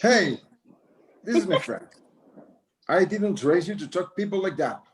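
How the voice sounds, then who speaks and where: A man's voice: high-pitched, animated, a little slow. A man playing a proud, scolding elderly rancher father in a screenplay table read.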